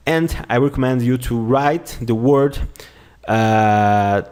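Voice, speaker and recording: A man with a Brazilian accent teaching an online lesson, going slowly, repeating words and often checking with "okay?". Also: medium pitch, darkish tone, clean tone. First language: Portuguese. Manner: slow, repeats words and phrases often, says "okay?" a lot. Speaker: language teacher.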